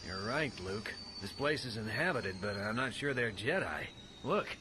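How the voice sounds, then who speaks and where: An actor playing a brash, wisecracking Jedi trainee with a quick temper in an audio drama. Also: male voice, fairly low pitch, animated, background noise, natural voice. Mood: wry, wary. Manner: wry, wisecracking.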